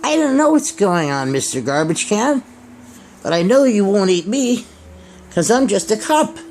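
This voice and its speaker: A man's voice: high-pitched, very animated, unhurried, darkish tone, a little hiss or hum, voice pushed up, heavily acted. A man voicing a naive, chirpy little talking cup in a children's puppet show, in a repetitive sing-song way. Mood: naive, trusting.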